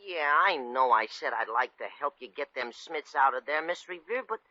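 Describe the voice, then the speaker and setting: An actor playing a timid, hesitant young hotel helper who sounds unsophisticated, in 1950s radio comedy style. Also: male voice, medium pitch, lively intonation, moderate pace, bright, forceful tone. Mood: nervous, hesitant. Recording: dull old radio recording.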